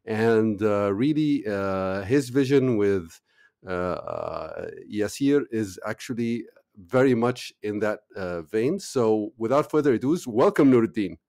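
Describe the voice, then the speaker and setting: A man hosting a podcast interview conversationally, with halting, hesitant phrasing. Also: on the low side, big pitch swings, darkish tone, no echo, clean studio sound. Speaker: podcast host.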